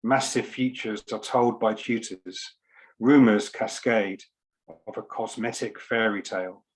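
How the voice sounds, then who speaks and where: Male poet, low register, unhurried and measured, for readings and short introductions. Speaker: poet reading at a book launch. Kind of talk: poetry reading with spoken introduction. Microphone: online video call.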